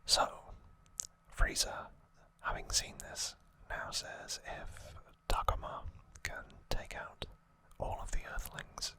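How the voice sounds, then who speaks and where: A person whispering softly throughout in an unhurried, informal, explanatory ASMR recap style. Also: low-quality recording. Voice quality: soft unvoiced whisper. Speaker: ASMR creator and host.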